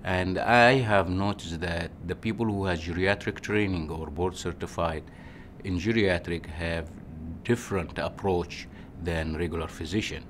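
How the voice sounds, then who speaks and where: Egyptian-accented man in his forties, very deep voice, unhurried and halting interview answers, faint room tone. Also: fairly even intonation, a little hiss or hum. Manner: unhurried, halting, with restarts and "you know". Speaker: physician.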